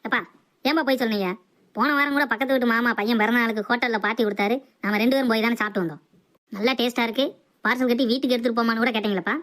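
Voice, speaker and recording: A woman's voice: fairly high pitch, steady tone, very fast, fairly bright tone, close to an everyday voice. A woman voicing a cheeky, food-loving young son in a comic cartoon. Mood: curious.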